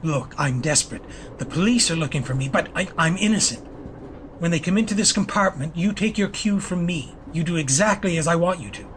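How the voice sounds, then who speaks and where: High-voiced desperate man on the run acted out by a man. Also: animated, a little fast, close to an everyday voice. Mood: desperate, pleading. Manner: earnest, pleading, polite. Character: desperate, quick-witted wanted man protesting his innocence. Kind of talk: amateur audio play acting. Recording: faintly noisy home-device recording.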